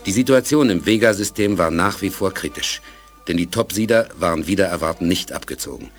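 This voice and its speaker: An actor as the sober narrator of a space adventure, telling it in a measured, dramatic way for a 1980s German radio play. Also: male voice, low voice, lively intonation, faint music behind. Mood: sober, suspenseful.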